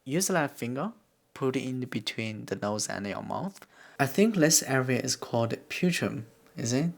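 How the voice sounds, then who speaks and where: A man's voice: mid-range voice, animated, normal speed, gravelly. A man whose first language is Korean, from near the China–North Korea border, giving earnest, plain English-learning tips to camera. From China–North Korea border region. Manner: earnest, plain, non-native phrasing with restarts. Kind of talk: instructional talk to camera. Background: guitar music.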